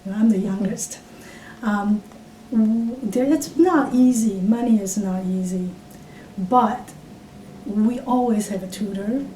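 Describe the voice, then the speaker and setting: A woman in her fifties from Taiwan with a Taiwanese accent, speaking English as a second language and recalling her past in an oral history interview, halting with many fillers and restarts. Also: mellow. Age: 50s. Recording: roomy, distant mic with faint rumble.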